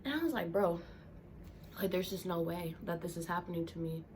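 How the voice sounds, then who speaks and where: A woman's voice: lowish voice, lively intonation, a little fast, darkish tone, a little hiss or hum. A young Southern woman with a stuffed-up, congested voice, chatting casually to her viewers with lots of ums and y'alls. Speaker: YouTuber reading Reddit stories. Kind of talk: casual vlog chat. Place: home room, indoors.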